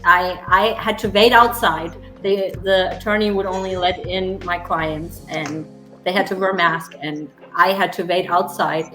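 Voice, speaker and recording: A woman's voice: on the low side, fairly even intonation, measured pace, a bit bright, echoey room, faint music behind, rough recording. A woman from Germany with a German accent, chatting casually as a real estate agent guest, full of "you know" fillers. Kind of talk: casual interview chat.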